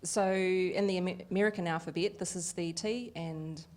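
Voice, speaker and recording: A woman voicing a Deaf host live at an evening event, lively and chatty with frequent fillers. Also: on the low side, normal speed. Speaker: sign language interpreter voicing the Deaf host. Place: event venue with an audience.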